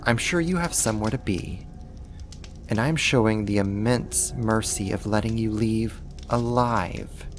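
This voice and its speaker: A voice actor playing a sadistic, haughty half-spider monster in an ASMR horror roleplay, talking slowly and condescendingly in a theatrical way. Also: mid-range voice, a little hiss or hum, lightly acted. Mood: irritated, dismissive.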